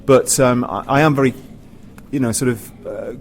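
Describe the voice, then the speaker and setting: A man with a medium-pitched voice talks informally as a theatre practitioner on a panel. He rambles, restarting and repeating phrases with plenty of "you know". There is noticeable noise behind him from the live recording. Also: normal speed, creaky. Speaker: pantomime writer, performer and director on a discussion panel. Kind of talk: unscripted panel discussion. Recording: noisy live room recording.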